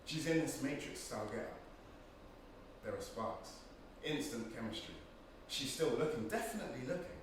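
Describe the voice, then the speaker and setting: A man's voice: mid-range voice, animated, moderate pace, close to an everyday voice. An actor playing an intense, restless young man, wry and poetic, in a theatrical monologue. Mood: dreamy. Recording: echoey room, far mic, noisy.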